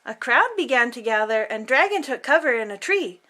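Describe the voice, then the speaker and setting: A woman's voice: on the high side, big pitch swings, brisk, sharp tone. A woman reading a children's picture book aloud as host, theatrically, drawing out words for emphasis.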